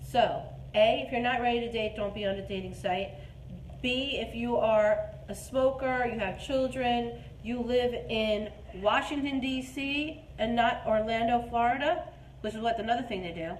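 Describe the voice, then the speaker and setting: A woman's voice: on the high side, normal speed. A woman in her forties hosting a dating advice show, chatting casually and emphatically in an echoey room. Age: 40s. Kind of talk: casual live chat. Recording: echoey room with clear background noise.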